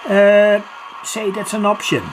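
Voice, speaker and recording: A slow-speaking hobbyist walks through an informal demonstration in a halting, piecemeal way. The voice sits at a medium pitch, with many pauses between short phrases. Radio static and noise are noticeable in the home recording. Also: monotone. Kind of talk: unscripted demo vlog explanation. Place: home, indoors near a kitchen. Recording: noisy room with radio static.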